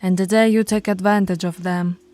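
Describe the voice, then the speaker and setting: A woman voicing a dominant, stern alpha werewolf pack leader in an ASMR roleplay, scolding and taunting in a commanding, deliberate way. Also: mid-range voice, steady tone, dark tone, natural voice. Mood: stern lecturing.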